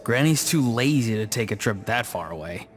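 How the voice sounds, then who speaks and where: An actor plays a calm, blunt game companion. The voice is mid-pitched and matter-of-fact, speaking tersely with clear music behind. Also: moderate pace, lightly acted. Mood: dry, blunt. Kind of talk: video game voice acting.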